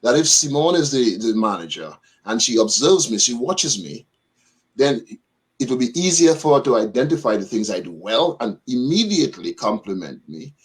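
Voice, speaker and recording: A man on a radio panel lays out his points one by one in a measured way. His pitch moves lively up and down as he talks. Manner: measured and methodical, laying out points in order. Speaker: guest. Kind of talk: panel discussion on a live radio show.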